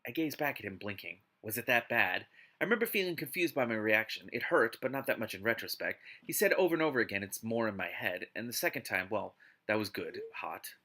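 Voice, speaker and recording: A man hosting a live read-aloud of a book, mixing narration with casual, exasperated and expressive commentary. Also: lowish voice, a little fast. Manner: exasperated, expressive asides while reading.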